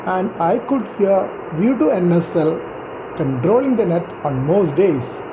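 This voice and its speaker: An older man reading scripted narration in a measured, explanatory and reminiscing manner. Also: high voice, background noise. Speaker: amateur radio enthusiast presenting.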